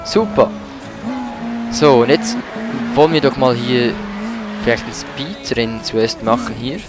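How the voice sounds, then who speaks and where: Dude commentating a racing game, colloquial and a bit sweary, loud music and engines in back. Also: male voice, mid-range voice, unhurried. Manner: colloquial, mildly swearing, exclaims while playing. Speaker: let's play host. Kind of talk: casual gameplay commentary. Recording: loud music and car noise behind. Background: game music and car engines.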